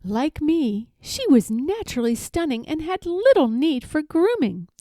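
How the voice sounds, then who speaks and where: A woman voicing a vain, indignant pampered palace dog, telling a storybook tale theatrically with dramatic pauses. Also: sits high, very animated, mellow, no echo, clean studio sound, pitched above the performer's own voice. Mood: haughty. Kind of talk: expressive storybook read-aloud.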